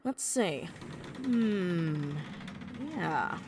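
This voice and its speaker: A woman's voice: on the low side, lively intonation, extremely slow. A woman playing a laid-back, teasing engineer in a comedic audio drama, heard in an echoing space. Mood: easygoing. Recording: echoing hall, faint background noise.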